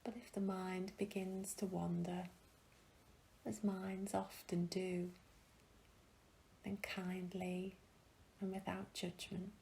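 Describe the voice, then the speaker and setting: A woman guiding a meditation in a gentle, soothing, hushed and breathy voice, leaving long pauses between phrases. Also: mid-range voice, little pitch movement, a little hiss or hum, poor audio. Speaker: meditation guide. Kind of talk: guided meditation, soothing and slow.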